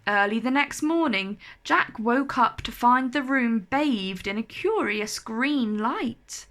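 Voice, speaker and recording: A woman reading a children's storybook aloud in an expressive, animated, carefully enunciated way. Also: a little high, takes it slowly.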